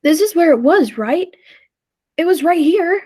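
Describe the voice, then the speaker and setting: A woman's voice: extremely high pitch, expressive, measured pace, darkish tone. A woman playing a time traveler's uneasy, doubtful companion in a 1940s-style radio play, earnest and hesitant. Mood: alarmed.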